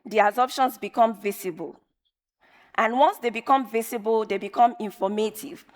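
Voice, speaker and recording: A woman whose first language is Yoruba, giving a measured, emphatic conference talk and repeating phrases for effect. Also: fairly high pitch. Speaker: conference speaker. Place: large conference hall. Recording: long reverb of a large hall.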